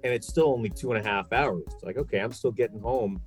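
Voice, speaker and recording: A man's voice: lowish voice, fast talker, faint music behind. A middle-aged American man, a sports broadcaster, chatting casually and explaining things at length as a podcast guest.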